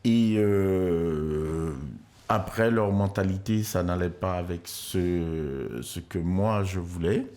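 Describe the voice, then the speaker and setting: An older man, a sports club president and coach, answering in a radio interview in a slow, halting way. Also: low voice, warm tone. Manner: slow and halting, many fillers.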